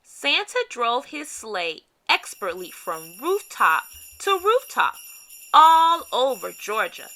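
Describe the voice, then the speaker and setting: A woman reading a children's storybook aloud with expressive, dramatic emphasis. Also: on the high side, slow, a little hiss or hum. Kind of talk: read-aloud scripted narration for kids.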